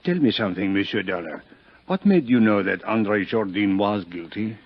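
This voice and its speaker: Husky, world-weary French police inspector. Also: male voice, fairly low pitch, animated. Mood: resigned, musing. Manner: hesitant, deliberate, formal phrasing with French interjections. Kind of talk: 1950s radio acting. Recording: dull, muffled 1950s radio recording.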